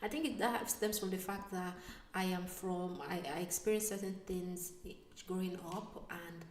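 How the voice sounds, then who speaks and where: A woman vlogger telling a personal story in a casual, animated way, sometimes dropping to a whisper. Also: fairly low pitch, normal speed, far from the mic, a little hiss or hum. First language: not Italian. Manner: animated, drops to a whisper for asides. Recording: echoey room, voice away from mic.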